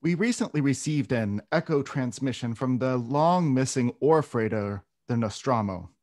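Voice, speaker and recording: Man acting a smooth mid-pitched company executive. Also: lively intonation, darkish tone, natural voice. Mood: official, businesslike. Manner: formal, measured, official. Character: smooth corporate team lead in his thirties, businesslike. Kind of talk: screenplay table read.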